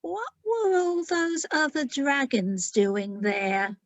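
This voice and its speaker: A woman children's author speaking informally, in the unhurried way of someone reading aloud. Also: sits high, no echo, muffled recording. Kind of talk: read-aloud storytelling and informal talk.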